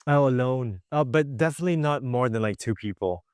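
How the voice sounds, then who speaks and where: A young man from Malaysia, a visual artist answering quick interview questions in a chatty way with many fillers and little laughs. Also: mid-range voice, lively intonation, a little mellow, clean tone, dry recording, clean studio sound.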